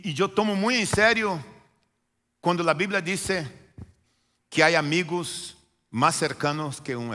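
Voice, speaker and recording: Man, native Portuguese speaker with a Brazilian accent, pastor preaching in a reverberant church sanctuary; emphatic repetitions. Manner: preaching with repetition and swings from loud emphatic lines to quiet low ones. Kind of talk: sermon.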